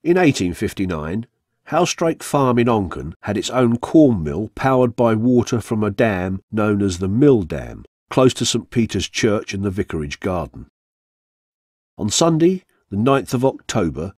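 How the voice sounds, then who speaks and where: A man reading a scripted true-crime story for radio in a measured, formal way. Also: fairly low pitch, a little mellow, dry recording, clean studio sound. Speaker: narrator. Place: radio studio, dry sound.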